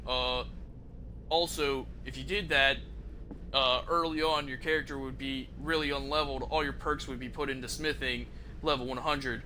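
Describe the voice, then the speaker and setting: A man's voice: medium pitch, steady tone, normal speed, pushed and bright, slight background noise. A man chatting casually and rambling through gameplay commentary as a streamer, conversational and prone to swearing. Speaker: video game streamer.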